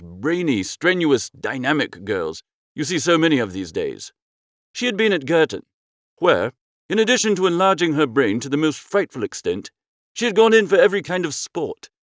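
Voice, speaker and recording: Guy reading a comic audiobook, very lively swings in pitch, breezy and theatrical, dry close sound. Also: no echo. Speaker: audiobook narrator. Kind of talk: expressive first-person comic story narration.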